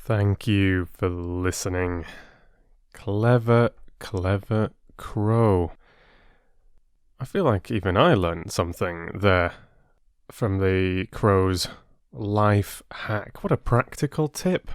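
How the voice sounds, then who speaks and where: Guy reading stories n chatting to listeners, kinda low voice, real slow, playful, super dry sound. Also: clear, resonant tone, no echo. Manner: playful, expressive storyteller. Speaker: audiobook narrator and channel host. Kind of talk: storybook narration and casual chat to listeners.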